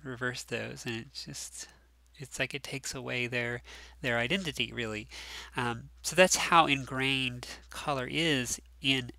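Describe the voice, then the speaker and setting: A man's voice: medium pitch, steady tone, a little slow, vocal fry, pushed and bright, dry recording, poor audio. A man teaching a recorded class lecture, sounding nasal and stuffed up from allergies, talking casually with lots of ums and restarts. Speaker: course instructor.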